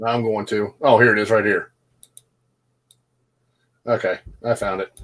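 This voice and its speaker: A man co-hosting a live streamed chat, relaxed and self-deprecating as he talks. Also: lowish voice, a little slow. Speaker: co-host.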